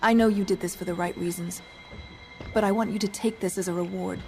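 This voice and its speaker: A woman's voice: on the low side, fairly even intonation, fairly quick, creaky, darkish tone, soft background music. An actress playing an earnest, grateful young woman in a video game, speaking sincerely and plainly. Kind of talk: scripted game dialogue.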